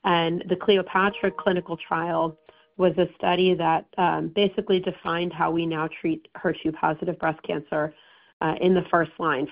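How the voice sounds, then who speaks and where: A hoarse woman doctor explains treatment options in an informative way, with frequent ums and false starts. Her voice is low and gravelly. The sound is thin and phone-like. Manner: informative, many ums and restarts. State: hoarse, recovering. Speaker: breast oncologist presenting. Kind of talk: medical presentation to patients. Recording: tinny phone-line audio.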